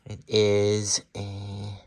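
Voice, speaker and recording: A man in his thirties talking casually and haltingly, thinking aloud as he presents a home repair vlog on a handheld phone. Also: lowish voice, monotone, creaky. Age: 30s. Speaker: hobbyist repairer showing his work. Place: carpeted room at home. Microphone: handheld phone, at arm's length.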